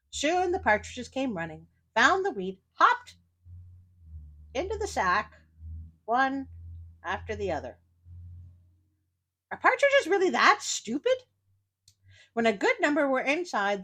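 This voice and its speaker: A woman storyteller with a bright, forward voice reads a fairy tale aloud. Her pitch moves around a lot as she narrates. She slips into casual, wry side comments with a sardonic edge. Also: big pitch swings, a little slow, long pauses. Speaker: storyteller, host of a story show.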